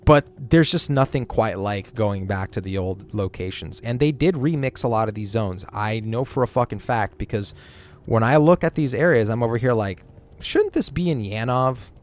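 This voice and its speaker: Low-voiced man ranting about a game. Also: expressive, normal speed. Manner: rambling, opinionated, swears freely. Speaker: game streamer. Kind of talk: casual gaming stream chat.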